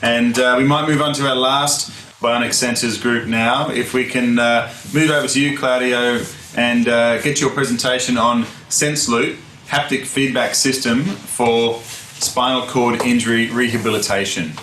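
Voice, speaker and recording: A man's voice: mid-range voice, fairly even intonation, normal speed, fairly bright tone. A man moderating an online session, introducing the next speaker in a friendly way with many "uh" fillers. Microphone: video call. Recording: roomy call with faint noise.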